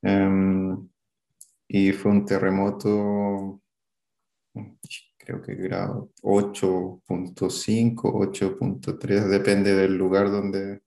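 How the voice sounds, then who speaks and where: Chilean man in his thirties, interviewee; low, flat, gravelly voice, hesitant delivery, echoey room. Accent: Chilean Spanish. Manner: halting, hesitant, many fillers and restarts. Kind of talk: recalling a past event in an interview.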